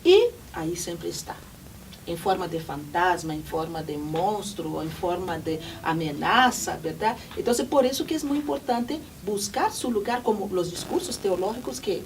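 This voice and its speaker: A woman's voice: on the low side, expressive, normal speed. A woman whose first language is Portuguese, giving reflective academic commentary at a presentation, searching for words and often adding tag questions. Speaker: panel commentator at a research report presentation. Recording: distant mic with faint room noise.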